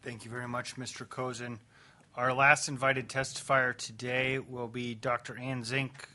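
A man's voice: medium pitch, level tone, normal speed, bright, forceful tone. A man chairing a committee hearing, polite and procedural as he calls witnesses.

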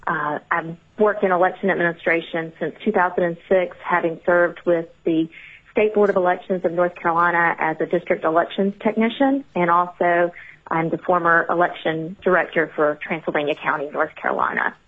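A woman with a Southern US accent, an expert witness reading prepared remarks to a committee in a measured, formal way, heard over a telephone. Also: fairly low pitch, fairly even intonation. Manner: measured, formal delivery with occasional fillers. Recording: narrow phone-line audio.

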